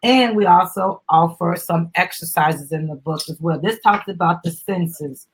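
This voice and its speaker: A middle-aged woman with a husky voice, reading a children's book aloud in an animated, encouraging way with explanatory asides. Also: on the low side, unhurried, a little hiss or hum, rough recording. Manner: encouraging, instructive, addressing children and parents.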